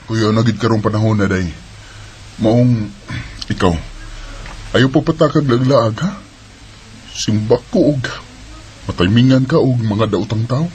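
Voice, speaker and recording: A man's voice: on the low side, unhurried, pauses often, darkish tone, slight background noise, natural voice. Protective Bisaya big brother, acted by a man. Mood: concerned, advising. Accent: Filipino, Bisaya. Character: protective, hardworking older brother raising his younger sister. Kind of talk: Filipino radio drama acting.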